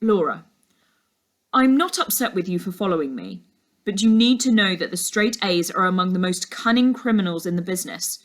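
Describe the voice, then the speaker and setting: Lady reading as a stern detective uncle in a kids book, measured, firm, takes charge. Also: on the low side, lively intonation, close to an everyday voice. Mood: grave. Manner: measured, commanding, matter-of-fact. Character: serious, authoritative ex-detective uncle.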